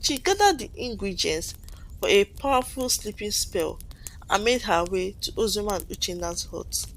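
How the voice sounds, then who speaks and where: A woman's voice: mid-range voice, expressive, normal speed, a little hiss or hum, low-quality recording. A woman reading a scripted folktale aloud as a storyteller, in a dramatic manner with the odd stumble over a phrase.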